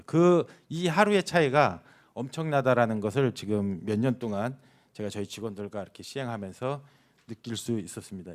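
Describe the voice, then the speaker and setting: Man presenting a company case study. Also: mid-range voice. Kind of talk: public presentation at a hearing, explanatory.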